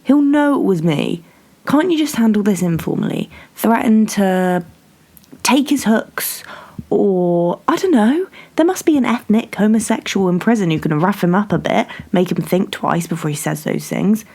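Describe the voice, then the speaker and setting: A woman acting a creaky, nervous, aggrieved prison worker. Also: mid-range voice, lively intonation, moderate pace, a little mellow. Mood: scared, evasive. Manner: hesitant, self-justifying. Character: nervous, aggrieved prison staffer complaining about a frightening inmate. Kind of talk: dramatic monologue acting.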